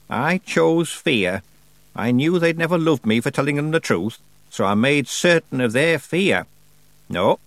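A man voicing an ancient female witch, stern and sly, in a slow, drawn-out, lofty manner for an audiobook. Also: on the high side, no echo, voice pushed up.

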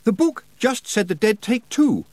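Young hero, played by a male narrator: stubborn, earnest, firm, raised voice, lively swings, storybook reading. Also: high-pitched, unhurried, no echo, pitched above the performer's own voice.

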